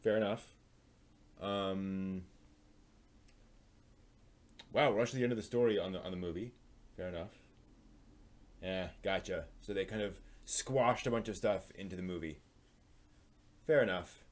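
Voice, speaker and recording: A man's voice: sits low, moderate pace, long pauses. A man hosting a live stream, giving opinionated commentary on anime in a rambling, thinking-aloud way.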